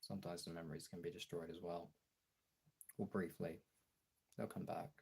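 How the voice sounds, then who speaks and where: Really deep voiced guy with lots of fry and some rasp, just chatting, stops and starts while he figures out what to say. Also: level tone, a little mellow. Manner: halting, searching for words, trailing off. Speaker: streamer. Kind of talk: casual personal chat, thinking aloud.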